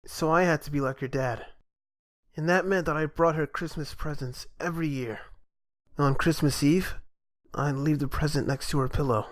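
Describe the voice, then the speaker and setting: A man's voice: on the high side, moderate pace, lightly acted. A man voicing an aloof, wistful young older brother in a game fan dub, talking in a casual, reflective way.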